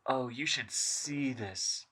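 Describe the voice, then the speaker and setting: A woman's voice: rumbling low, slow pace, a bit bright, breathy edge, pitched above the performer's own voice, heavily acted, low-quality recording. A man reading aloud, voicing a young woman diver who grows frightened and breathless in danger. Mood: awed. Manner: breathless, gasping.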